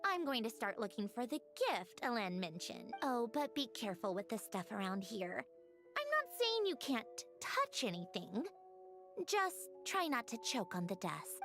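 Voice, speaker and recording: Game role: brisk, dry young woman, high and animated, bossy with a wistful side. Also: exaggerated voice. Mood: dry, casual. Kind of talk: video game voice acting. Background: game music.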